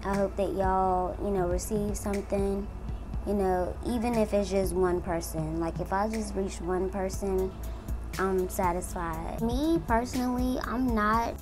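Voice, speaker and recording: A young woman in her twenties talks to her viewers in a chatty, earnest way, like a beauty vlogger sharing her story. Her voice sits at a middle pitch. Soft music plays faintly in the background. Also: steady tone, unhurried. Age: 20s. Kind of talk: casual testimony while doing makeup.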